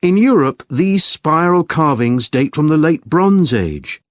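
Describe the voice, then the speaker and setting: A man delivering a scripted academic lecture in a measured, explanatory manner. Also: on the high side, animated, no room sound, clean studio sound. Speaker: lecturer in a listening test.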